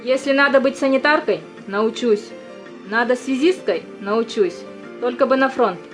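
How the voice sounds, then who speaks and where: Woman acting a high-voiced earnest young volunteer. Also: moderate pace, natural voice. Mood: pleading, determined. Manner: earnest, insistent. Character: determined young woman pleading to join the front. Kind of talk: theatrical audio drama acting. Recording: music under the voice.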